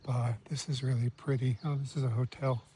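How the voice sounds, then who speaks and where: Mellow-voiced man muttering travel asides. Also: mid-range voice, level tone, fairly quick, a little hiss or hum. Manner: chatty, muttering asides, sometimes whispering. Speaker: travel vlogger. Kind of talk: casual walking vlog commentary. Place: outdoors in a village street and on a café terrace.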